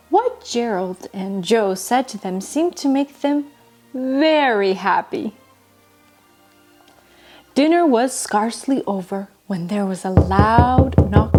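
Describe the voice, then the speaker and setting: A woman narrating a children's bedtime story in an expressive, deliberate storybook style, with music behind her. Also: mid-range voice. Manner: animated, deliberate storybook delivery. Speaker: storyteller, children's show host. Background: background music.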